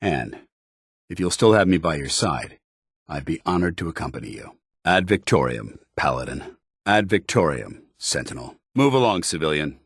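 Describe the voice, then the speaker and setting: An actor playing a stern, principled, by-the-book soldier in a video game, with formal, clipped, commanding delivery. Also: male voice, very deep voice, big pitch swings, normal speed, fairly bright tone, breathy edge, dry recording, clean studio sound, heavily acted. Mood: earnest, formal. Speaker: video game companion character.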